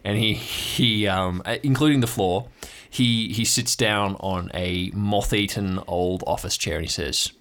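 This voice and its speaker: A man narrating an improvised audio drama as game master, descriptive and halting as he makes it up. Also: on the low side, a bit bright.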